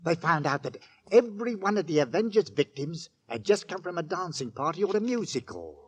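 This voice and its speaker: An actor playing an excitable, chatty working-class husband and father in a 1940s radio drama. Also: a little high, a little fast, slightly rough. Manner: eager, chatty, colloquial. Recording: vintage radio, faint noise.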